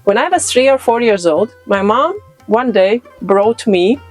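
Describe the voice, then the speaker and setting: A middle-aged woman with a Latvian accent, a yoga expert answering interview questions in a thoughtful way, pausing often with fillers. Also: medium pitch, lively intonation, soft background music. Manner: thoughtful, with frequent pauses and "uh" fillers.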